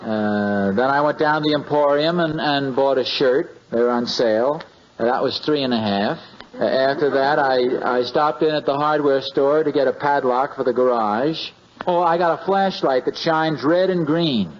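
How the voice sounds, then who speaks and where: A man's voice: medium pitch, moderate pace, lightly acted. An American man acting a bumbling, easily flustered suburban husband in a 1950s radio comedy, with a hesitant, stammering delivery. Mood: casual, rambling. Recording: muffled, noisy vintage radio recording.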